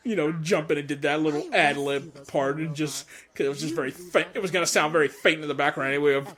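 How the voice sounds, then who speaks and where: A man giving a casual director's audio commentary, rambling and stammering with excitable energy. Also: fairly high pitch, fast, crowd noise. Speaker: director of a fan comic dub.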